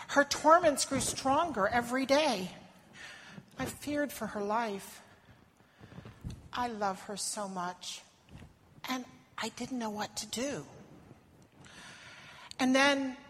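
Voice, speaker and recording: A woman's voice: on the high side, animated, slow pace, long pauses, fairly bright tone, long reverb tail, a little hiss or hum. A woman acting out a desperate foreign mother who pleads for her sick daughter, telling her story earnestly in the first person. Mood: sorrowful. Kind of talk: dramatic first-person monologue in church.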